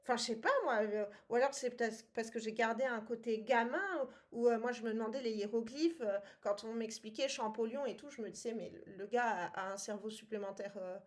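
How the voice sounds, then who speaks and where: Hesitant woman, higher voice. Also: fairly quick, hardly any pauses. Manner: hesitant, many fillers, laughs often. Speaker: podcast co-host. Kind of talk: casual book-club chat.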